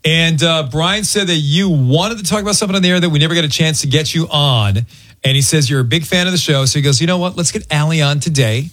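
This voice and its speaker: A man's voice: on the high side, quick pace, fairly bright tone, no room sound. A man hosting a radio show, chatting casually and teasing playfully into a close studio microphone. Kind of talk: casual on-air chat, teasing banter.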